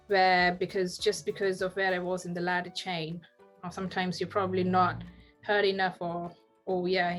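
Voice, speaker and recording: A woman in her thirties speaks as a guest over a video call from home. Her pitch stays level and even, and she talks earnestly, often restarting sentences as she hunts for words. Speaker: podcast guest. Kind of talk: casual video-call interview. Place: home room.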